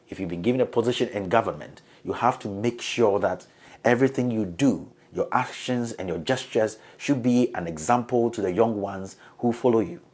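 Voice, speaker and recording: A hoarse man from Ghana, delivering a scripted political editorial in an emphatic, accusatory way. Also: fairly low pitch, a little mellow. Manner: emphatic, accusatory, building in force. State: hoarse from flu. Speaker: political commentator.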